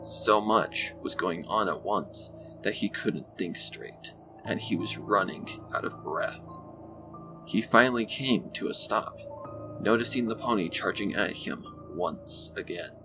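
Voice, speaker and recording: Low-voiced man narrating a story over music. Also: rough recording. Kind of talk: scripted audiobook-style story narration. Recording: music bed behind the voice. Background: loud background music.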